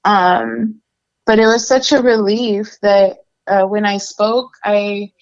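A woman's voice: mid-range voice, fairly even intonation, slow pace, dull audio. A young adult woman from Mexico, a guest telling her life story in a casual, candid interview full of fillers. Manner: candid, conversational, many fillers.

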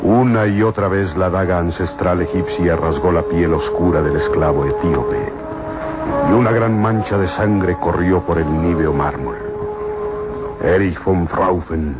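A man's voice: sits low, measured pace. A man narrating an old radio serial as scripted drama, with solemn, florid storytelling. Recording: muffled old radio recording. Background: loud dramatic music.

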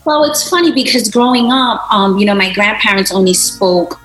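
A woman's voice: medium pitch, lively intonation, normal speed, fairly bright tone. A businesswoman from Brooklyn, answering interview questions in a chatty way with lots of fillers. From Brooklyn, New York. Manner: chatty, laughs easily, many fillers. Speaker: interview guest, business owner. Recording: roomy echo, faint music behind.

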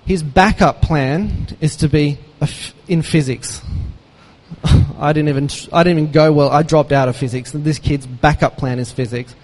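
A man's voice: on the high side, fairly even intonation, normal speed, a little mellow. A man finishing his talk to a live audience with a wry, amused anecdote. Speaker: event speaker. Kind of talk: closing a talk with a personal anecdote.

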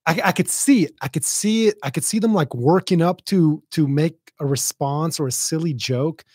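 A man's voice: a little high, normal speed, darkish tone, slightly rough, no echo, clean studio sound. A man who speaks Arabic as his first language, hosting a podcast in casual chat, blunt and sardonic, laughing often. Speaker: podcast host.

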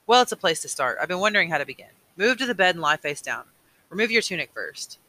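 A man reading aloud as a weary old mentor, patient and burdened, with a slow, earnest delivery. The voice sits a little high and comes out sharp and bright. It sounds like a tired elder in a storybook. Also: very animated, full character voice, poor audio. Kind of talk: audiobook reading.

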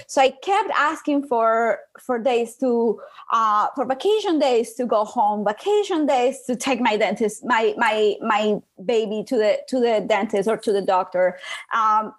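High-voiced young Colombian woman. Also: a little slow. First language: Spanish. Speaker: podcast guest, digital marketing business owner. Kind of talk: conversational interview, telling her own story.

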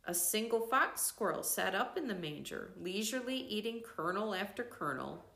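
An older woman reading a devotional book aloud in a gentle, measured way, pausing between phrases. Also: mid-range voice, expressive, creaky. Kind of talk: slow reflective book reading.

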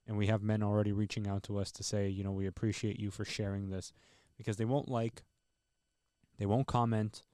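A man's voice: lowish voice, level tone, fairly quick, warm tone, smooth, dry recording. A man hosting a podcast interview in a studio, earnest and encouraging as he talks with his guest. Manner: earnest, encouraging, speaks about men's struggles. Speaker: podcast host. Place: recording studio. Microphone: headset mic and headphones.

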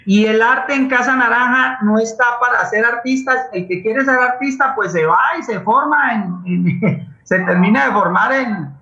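A man's voice: very high-pitched, normal speed, pushed and bright, roomy sound, dull audio. A middle-aged man with a Colombian accent, speaking earnestly and emphatically in an impassioned conversational style as a guest at a radio forum. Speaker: playwright and community theatre leader, interview guest.

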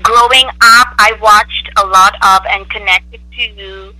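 A woman from the Bahamas, a guest speaking in an interview in an earnest, measured way with church phrases. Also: medium pitch, steady tone, pushed and bright, dry recording, low-quality recording. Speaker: guest, church educator and gospel soloist. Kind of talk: interview conversation.

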